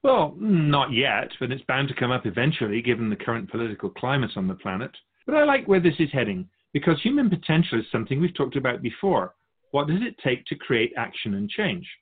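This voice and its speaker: A man voicing a wise, patient spirit who observes humankind, explaining and questioning in a measured conversational way on a radio show. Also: mid-range voice, very animated, slightly rough. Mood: thoughtful, encouraging. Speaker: guest sage on a radio show. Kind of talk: conversational philosophical teaching. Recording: thin phone-like band.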